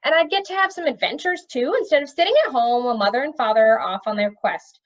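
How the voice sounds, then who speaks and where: Woman voicing an eager high-pitched young crown prince. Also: fast talker, muffled recording, close to an everyday voice. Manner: insistent, outspoken.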